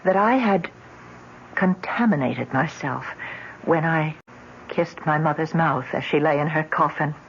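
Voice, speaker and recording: Radio drama daughter: troubled, earnest, steadfast. Dull vintage sound. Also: female voice, deep voice, a little slow, a little hiss or hum. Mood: tense, earnest. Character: troubled adult daughter Una, anguished but steadfast. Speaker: actress narrating and playing the lead. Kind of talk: old-time radio drama acting. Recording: dull vintage radio recording, music underneath.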